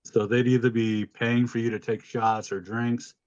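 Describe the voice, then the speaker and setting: A man with a deep voice co-hosting a podcast, telling stories in an informal, casual way and swearing freely. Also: flat delivery, normal speed, dry recording, highs cut off. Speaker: podcast co-host. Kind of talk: casual chat, storytelling.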